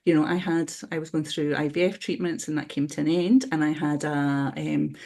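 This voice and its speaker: A woman's voice: low-pitched, fairly even intonation, normal speed, gravelly, mellow. A woman with a Scottish accent, talking reflectively on a webinar panel and often restarting her sentences. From Scotland. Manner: reflective, with frequent restarts and "you know". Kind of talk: casual conversation.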